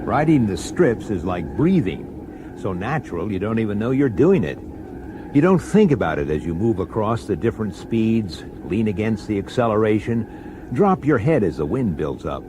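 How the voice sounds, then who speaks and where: An actor playing a world-weary middle-aged police detective in a radio drama, speaking tersely and dryly, also as narrator. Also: male voice, on the low side, very animated, normal speed, background noise. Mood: wary, low. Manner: terse, dry, clipped. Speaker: detective and first-person narrator.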